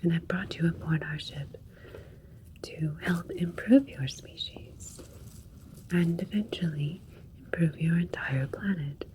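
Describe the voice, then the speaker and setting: A woman playing a gentle, soothing alien doctor in an ASMR roleplay, speaking in a breathy whisper with a clinical manner. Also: on the low side, expressive, takes it slowly, slight background noise, poor audio. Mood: calm, clinical.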